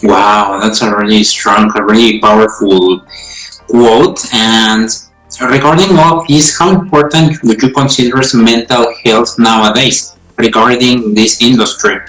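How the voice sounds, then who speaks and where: A man hosting an interview, asking questions eagerly and saying yes and okay often, in halting English. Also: fairly low pitch, fairly even intonation, a bit bright. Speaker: interview host. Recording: echoey room with faint music.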